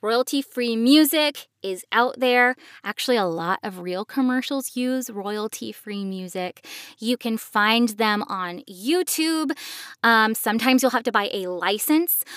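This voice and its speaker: High-pitched woman, close dry sound. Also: a bit bright, smooth, no room sound. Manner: casual, reassuring, explanatory. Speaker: podcast host, professional voice actor. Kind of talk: conversational podcast advice.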